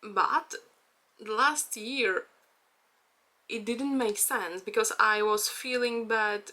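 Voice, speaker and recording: Slow, bright-voiced Czech woman. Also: a little high. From Czech Republic. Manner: hesitant, with frequent fillers and nervous laughs. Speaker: vlogger. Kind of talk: casual personal chat.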